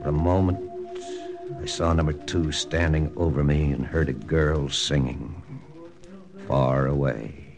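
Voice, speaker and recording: An actor playing a hard-boiled army lieutenant, narrating in a clipped first-person style for a 1940s radio drama. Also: male voice, rumbling low, steady tone, measured pace. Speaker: narrator and lead. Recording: old radio broadcast with music.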